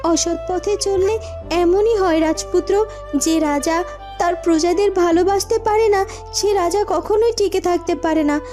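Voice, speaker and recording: A woman's voice: very high-pitched, measured pace, dark tone, faint music behind. A woman voicing a kind, dignified young cartoon princess who speaks in a reassuring, moralizing way. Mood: gentle, wise. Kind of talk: Bengali fairy-tale cartoon dubbing.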